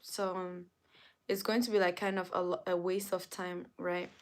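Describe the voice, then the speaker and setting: A woman's voice: fairly low pitch, flat delivery, moderate pace, noisy background. A woman livestreaming in casual chat, whose first language is not English, talking straight to her viewers and repeating herself often. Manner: talks straight to the chat, repeats phrases over and over. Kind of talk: casual live-stream chat.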